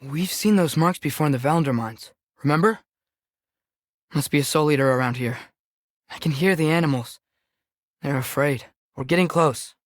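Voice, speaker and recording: A man's voice: fairly high pitch, no room sound, studio quality, natural voice. A young man voicing an earnest, curious teenage boy adventurer in a video game, with natural, inquisitive phrasing. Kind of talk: video game voice acting, companion dialogue.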